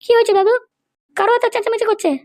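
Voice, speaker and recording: Very high-pitched feisty young granddaughter, played by a woman. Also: steady tone, rapid-fire, darkish tone. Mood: indignant, scolding. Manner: scolding. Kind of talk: amateur comedy cartoon dub.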